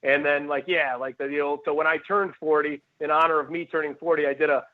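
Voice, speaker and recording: A fairly high-voiced man in his forties, from Cleveland, chatting as a stand-up comedian guest. He tells rambling, animated stories full of asides. The sound is thin and tinny, like a phone line. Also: quick pace. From Cleveland, Ohio. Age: 40s. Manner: rambling, animated storyteller who jumps between asides. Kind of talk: casual interview chat. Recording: tinny phone-like call audio.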